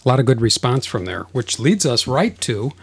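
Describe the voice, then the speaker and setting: A man's voice: medium pitch, sing-song, normal speed, breathy edge, no room sound. Joking podcast host man, dry sound. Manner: jokey, laughs often, reads listener mail aloud. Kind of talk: casual podcast chat.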